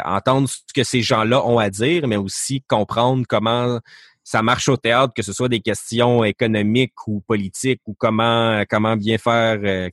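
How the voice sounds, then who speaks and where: A man's voice: lowish voice, dry recording, clean studio sound. A man, a podcast guest talking casually and hesitantly, with many fillers and restarts. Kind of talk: casual interview talk.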